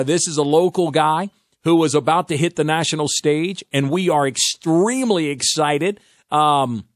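A man hosting a talk radio show from the studio, talking in an animated, folksy way and repeating himself as he goes. His voice sits a bit high for a man. The studio sound is close and dry. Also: dry recording. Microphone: studio microphone.

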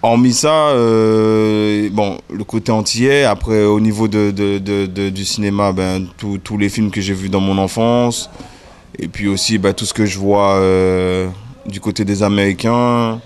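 A relaxed man in his thirties from the French Caribbean chats in an interview, speaking with a fairly low voice. He hesitates often, leaning on fillers and a constant "you know". He talks indoors into a desk mic. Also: measured pace, clean tone. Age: 30s. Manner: relaxed, hesitant, many fillers and repeated "tu vois". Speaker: music video director. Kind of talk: casual interview. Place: indoor room. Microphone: desk mic in front.

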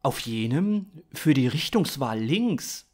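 A man in his thirties narrating scripted fiction in a dramatic, expressive way. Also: medium pitch, takes it slowly.

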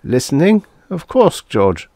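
A man voicing a grieving older male professor who speaks quietly, gently and dully. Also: fairly high pitch, very animated, unhurried, no echo, studio quality, voice pushed up. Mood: weary.

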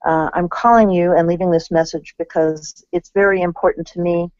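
A woman's voice: fairly low pitch, fairly even intonation, brisk, darkish tone, dry recording. A middle-aged woman, a citizen caller, earnestly leaving a message for her representative from a room at home through a laptop mic. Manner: earnest, pleading. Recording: dull lo-fi video call sound.

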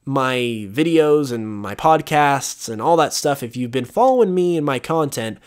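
A young man hosts a solo podcast in a loose, rambling way, full of fillers and restarts. His voice is wearing hoarse and he clears his throat at times, and his pitch sits right in the middle for a man. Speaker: podcast host. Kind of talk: casual solo podcast talk. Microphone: voice-over over gameplay footage.

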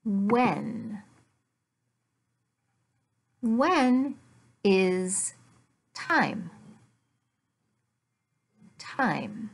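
Very slow-talking American woman teaching. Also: medium pitch, very animated, vocal fry. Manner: deliberate, simple short phrases with pauses and repetition. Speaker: English teacher. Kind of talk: beginner language lesson, addressed to learners. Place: small furnished living room at home.

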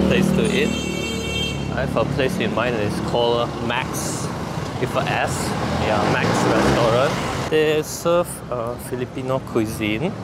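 Slow, rambling man vlogging in street noise. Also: medium pitch. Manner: rambling, loose, many fillers. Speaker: travel vlogger. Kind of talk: casual walking vlog. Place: hotel room, then city street outdoors. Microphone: handheld camera on small tripod. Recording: loud street and room noise.

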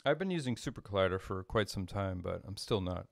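A middle-aged man giving a casual coding demo as a tutorial presenter, halting and thinking aloud as he works. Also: low-pitched, animated, gravelly, dry recording, studio quality. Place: small home room, indoors.

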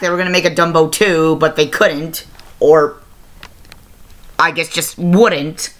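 A young adult giving a casual video review to camera, halting and often self-correcting, recorded on a webcam mic in a small room. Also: mid-range voice, bright, forceful tone. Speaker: review show host. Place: small plain-walled room indoors. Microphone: laptop webcam microphone at arm's length.

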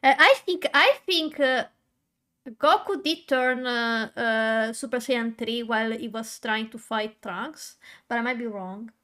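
A woman's voice: a little high, unhurried, bright, forceful tone. A young woman streaming while coloring, chatting casually to her viewers, with a stutter and many fillers and restarts. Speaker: VTuber streamer.

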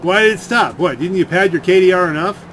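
A man's voice: high voice, lively intonation, quick pace, a bit bright. A man giving casual gameplay commentary, rambling and grumbling as he thinks aloud. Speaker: game streamer and video creator. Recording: clear game engine noise behind. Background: video game car and ambient sounds.

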